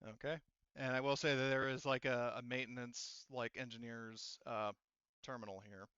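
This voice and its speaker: A man narrating a tabletop roleplaying game as game master in a casual, halting, conversational way. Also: medium pitch, little pitch movement, pushed and bright, clear, resonant tone, no echo, muffled recording. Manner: halting, conversational explaining with quoted character lines.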